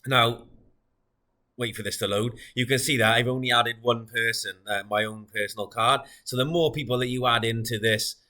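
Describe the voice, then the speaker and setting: A man's voice: mid-range voice, steady tone, moderate pace, sharp tone. A man demonstrating a product in an informal, explanatory, matter-of-fact way.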